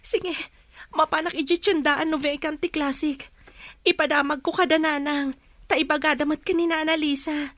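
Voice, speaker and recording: An anxious young wife in an Ilocano radio play, with an Ilocano accent. Her voice is high and dry, and she pleads urgently over faint music. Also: steady tone, moderate pace, a bit bright, no room sound. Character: anxious young wife awaiting news of husband. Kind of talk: radio drama acting.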